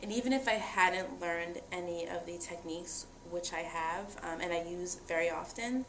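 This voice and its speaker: A woman's voice: on the low side, normal speed. A young woman talking earnestly and reflectively about herself in a conversation. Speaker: interviewee. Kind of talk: personal testimony in conversation. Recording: echoey room with faint noise.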